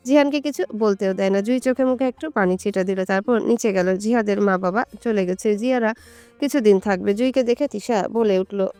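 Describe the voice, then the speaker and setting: A woman's voice: medium pitch, brisk, warm tone, clear, resonant tone, no room sound, faint music behind. A woman storyteller reading a scripted romantic story as narration.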